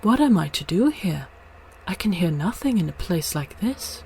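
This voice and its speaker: A woman's voice: on the low side, sing-song, normal speed, gravelly, warm tone. A woman voicing a naive young fir tree in a storybook, wistful yet hopeful, with background noise. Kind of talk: storybook character voice.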